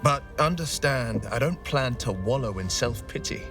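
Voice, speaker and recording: A man's voice: medium pitch, animated, moderate pace, lightly acted. A man playing a noble, earnest adventurer in a fantasy game, eloquent and sincere. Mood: earnest, hopeful. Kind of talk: video game voice acting. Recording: slightly distant, loud music behind.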